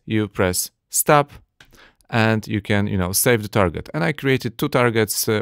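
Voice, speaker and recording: Low-voiced man reviewing audio gear. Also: creaky, no echo, clean studio sound. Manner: hesitant, many uh fillers, slightly non-native phrasing. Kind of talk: casual tech review talk. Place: small home studio, indoors. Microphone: studio condenser mic on arm.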